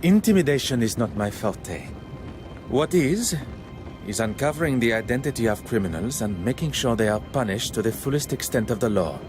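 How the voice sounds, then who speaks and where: An actor playing a shrewd, courteous, self-assured detective with a Belgian French accent, speaking formally and precisely in a game. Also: male voice, medium pitch, animated, normal speed, over music, close to an everyday voice. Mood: measured, probing. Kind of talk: scripted game dialogue and narration.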